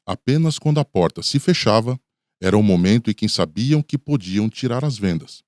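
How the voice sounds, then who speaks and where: A man's voice: on the low side, lively intonation, normal speed, darkish tone, no echo, studio quality. A man with a Brazilian accent reading scripted dramatic narration for a radio documentary.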